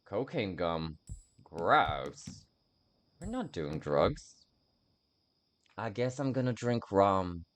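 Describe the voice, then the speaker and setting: A man's voice: low voice, sing-song, very slow, long pauses, slight background noise. A man giving casual video game commentary, thinking aloud in a rambling, self-mocking way over game sounds.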